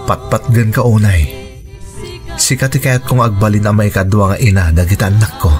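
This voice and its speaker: A man's voice: on the low side, fairly even intonation, moderate pace, faint music behind, lightly acted. A man acting an earnest widowed father in love. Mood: earnest, tender. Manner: earnest, reassuring. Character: earnest widowed father courting a new partner. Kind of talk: Ilocano radio drama acting.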